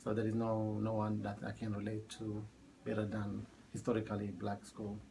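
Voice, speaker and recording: A man in his thirties from Ethiopia, a math teacher answering oral history questions in a halting way with many fillers. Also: fairly low pitch, monotone, warm tone, a little hiss or hum, poor audio. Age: 30s.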